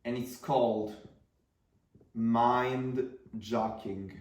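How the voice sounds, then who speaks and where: A man's voice: medium pitch, fairly even intonation, drawn-out words, darkish tone, roomy sound, far from the mic. A man in his twenties with an Italian accent, whose first language is Italian, talking casually to camera about marketing in a rambling way with fillers and restarts. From Italy. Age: 20s.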